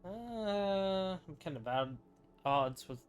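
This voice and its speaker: A woman's voice: mid-range voice, animated, slow pace. A woman doing casual gameplay commentary, reacting with blunt, sceptical, offhand asides. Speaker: gamer reacting to game story. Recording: game music behind the voice.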